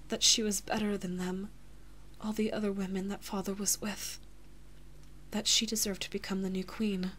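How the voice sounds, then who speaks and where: A woman playing a devoted, anxious big-sister princess and lover in an intimate audio roleplay, speaking softly and haltingly with breathy, emotional whispers. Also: mid-range voice, slight background noise, lightly acted. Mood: subdued, bitter.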